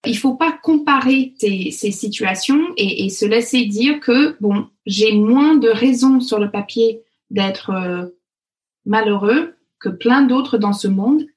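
Halting woman. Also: on the high side, a little mellow, low-quality recording. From Canada (Alberta). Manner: halting, with many fillers and restarts. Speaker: interview guest, author. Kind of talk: casual interview talk.

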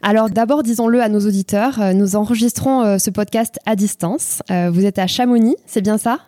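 Mellow woman podcast host, close and dry. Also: mid-range voice, moderate pace, no room sound. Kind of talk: scripted podcast introduction and interview.

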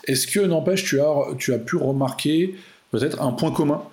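A man's voice: on the high side, normal speed, a little mellow. A man hosting a casual chat, asking long, winding questions. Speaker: podcast host.

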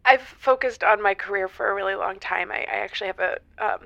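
An actress playing an earnest, picky single woman in her thirties, talking haltingly and emotionally as a caller in an audio drama. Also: mid-range voice, creaky, a bit bright, no echo, muffled recording. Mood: wistful, dreamy. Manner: halting, emotional, giggly hesitations. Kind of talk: semi-improvised audio comedy drama.

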